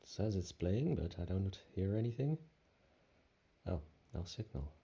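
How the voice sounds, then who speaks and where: Male casual product reviewer, unhurried and husky, speaking in tentative short bursts. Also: sits low, sing-song, dark tone. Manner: tentative, thinking aloud in short fragments. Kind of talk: casual unboxing commentary. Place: indoor room with tiled floor.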